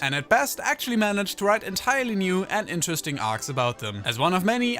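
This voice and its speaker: A man's voice: fairly high pitch, fairly bright tone. A man reading a scripted countdown review in a wordy, critical and opinionated way. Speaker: video essayist reviewing cartoons.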